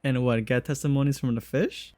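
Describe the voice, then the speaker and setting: A man's voice: mid-range voice, steady tone, brisk, mellow, dry recording, soft background music. A man giving casual, jokey, slangy reaction commentary while playing a game, swearing freely. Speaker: game streamer.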